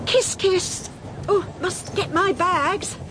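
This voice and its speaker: A woman's voice: very high-pitched, slow pace, background noise. An actress playing a brash, gossipy, much-married older Englishwoman in a radio drama, gushing and teasing with theatrical gasps and giggles, shameless and merry.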